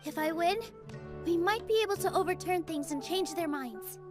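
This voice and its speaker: An actress voicing a sweet, earnest young dancer in a video game, with a soft, polite manner. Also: female voice, extremely high pitch, moderate pace, music in the background.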